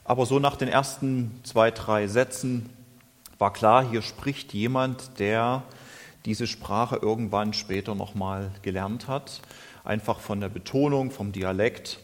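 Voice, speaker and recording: A man from Germany preaching a sermon in a slow, deliberate and thoughtful way. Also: fairly low pitch. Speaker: lay preacher. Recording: reverberant hall.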